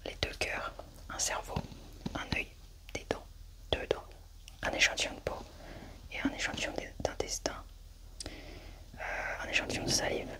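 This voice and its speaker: A woman doing a soft, hushed ASMR medical roleplay, narrating her own actions unhurriedly as an examiner. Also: measured pace, long pauses.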